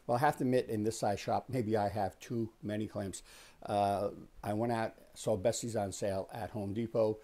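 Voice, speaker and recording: Casting: man, 60s, soft-edged dark tone on the low side, easygoing explainer hosting a shop tour. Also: fairly even intonation, moderate pace. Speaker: host of a woodworking shop tour. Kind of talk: casual explanatory tour talk. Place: small wood-panelled workshop, indoors.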